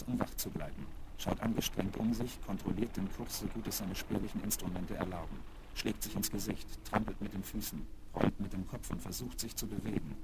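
A man narrating a children's radio play with scripted, dramatic storytelling. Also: low-pitched. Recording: noisy old vinyl rip.

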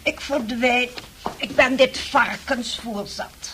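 An actress playing a haughty, domineering woman who styles herself a countess, speaking in a condescending, imperious way in a radio play. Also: fairly high pitch. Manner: imperious, condescending, slow and grand. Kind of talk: Dutch radio play acting. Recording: noisy old radio drama recording.

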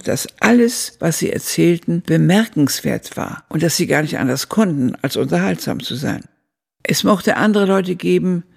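An older woman acting as an elderly narrator who looks back on her youth in a radio drama, with a gravelly, husky voice and measured phrasing. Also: on the low side, darkish tone, heavily acted.

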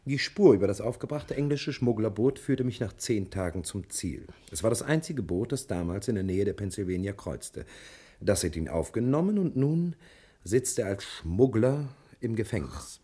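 Smooth, husky-voiced scheming detective. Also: male voice, on the low side, animated, normal speed, dark tone. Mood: courteous, confiding. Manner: courteous, self-assured, insinuating. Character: self-assured, scheming male private detective, smoothly courteous. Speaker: actor in a radio crime drama. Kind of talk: 1960s German radio drama acting.

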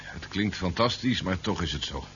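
A man's voice: deep voice, animated, normal speed, fairly bright tone. An army general in a vintage seventies radio drama. He is brusque, commanding and pragmatic, with an air of urgency. The recording is dull and noisy. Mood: calm, firm. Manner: brusque, authoritative. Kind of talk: 1970s radio play acting.

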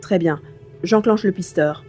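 A woman playing a determined, curious young hero in a science fiction audio drama, expressive and thinking aloud. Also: on the low side, measured pace, over music, natural voice.

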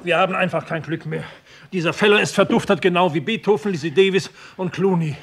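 An actor playing an exasperated, grumbling police subordinate in a German radio crime drama, blunt and emphatic. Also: male voice, sits high, fast talker, breathy edge.

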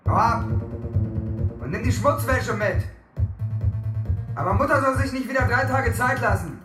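A man's voice: extremely high pitch, animated, unhurried. An actor playing a bitter young man in a radio drama, barking curt, hostile orders at his brother. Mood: angry, contemptuous. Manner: curt, commanding. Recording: echoing space with plucked guitar music behind.

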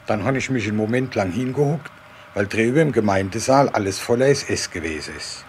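A man's voice: lowish voice, animated, fairly quick, darkish tone, a little hiss or hum. An older man telling his memories as an eyewitness in a spontaneous, folksy way. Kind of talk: spontaneous oral storytelling.

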